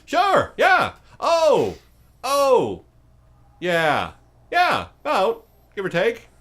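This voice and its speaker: A high, loud and cheerful ancient skull oracle for a tabletop fantasy game. It chats away in an offhand, casual manner, far lighter than a giant undead thing would suggest. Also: male voice, huge pitch swings, extremely slow, full character voice. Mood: chatty, musing. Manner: cheery, offhand, hesitant chattiness.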